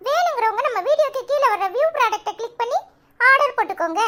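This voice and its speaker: A woman voicing a chirpy little cartoon child who presents products in a scripted promotion, with a pitched-up voice. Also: expressive, quick pace, pushed and bright, exaggerated voice.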